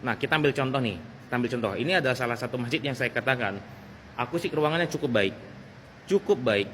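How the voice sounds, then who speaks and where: A man's voice: mid-range voice, lively intonation, fast, fairly bright tone. A man talks in a mid-range voice, explaining things casually like a video host. He often repeats his phrases to drive a point home. There is some background noise around his voice. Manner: repeats phrases for emphasis, conversational. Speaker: sound technician and video host. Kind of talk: casual explanatory vlog talk.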